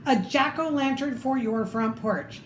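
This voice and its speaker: A woman's voice: sits high, unhurried. A woman voicing eager, earnest children in a storybook with an insistent manner. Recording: echoey room with faint noise.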